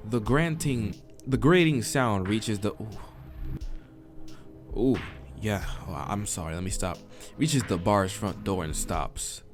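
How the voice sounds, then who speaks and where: A man's voice: on the low side, takes it slowly. A man narrating a visual novel as a let's player, informal, sometimes stumbling over the text and restarting. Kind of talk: story narration with casual asides. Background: game soundtrack music.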